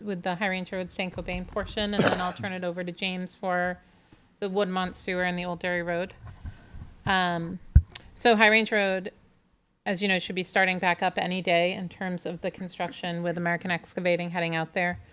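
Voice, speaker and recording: Town staff woman, low-ish voice, brisk and informative, committee meeting update. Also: flat delivery, fairly bright tone, slight background noise.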